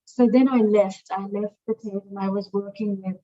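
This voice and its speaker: A middle-aged woman from Sri Lanka, a museum director speaking as a guest in an interview, thoughtful and searching for words with many pauses and fillers. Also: medium pitch, steady tone, mellow. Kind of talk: interview conversation. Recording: dull lo-fi sound.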